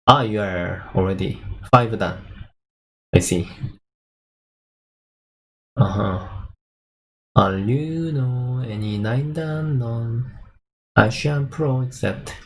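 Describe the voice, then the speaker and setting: A young man from South Korea, a native Korean speaker with a Korean accent, chats casually in halting English as he hosts a livestream as a Go teacher and answers viewers. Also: medium pitch, fairly bright tone. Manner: halting, non-fluent English phrasing. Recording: clearly noisy livestream audio.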